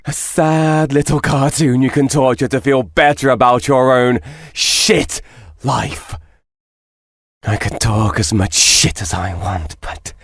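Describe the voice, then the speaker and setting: Man voicing a volatile, tormented cartoon man, wildly swinging pitch. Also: vocal fry, sharp tone, breathy edge, no echo, studio quality, exaggerated voice. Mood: defeated. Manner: theatrical, swearing, volatile delivery. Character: tormented cartoon man, bitter, taunting, vengeful, then desperate. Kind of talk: dramatic visual novel game voice acting.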